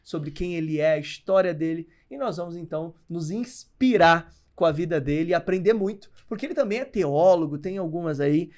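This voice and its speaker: High-voiced upbeat podcast host man. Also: fairly quick, few pauses. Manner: upbeat, promotional, lively delivery. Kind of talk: enthusiastic podcast hosting.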